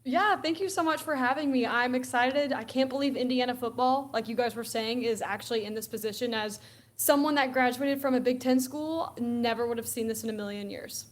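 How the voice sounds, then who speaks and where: A woman's voice: on the high side, brisk. Chatty young woman from Alabama. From Alabama, USA. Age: young adult. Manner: chatty, laughs often, acts out quoted exchanges. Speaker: guest co-host. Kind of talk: casual sports chat.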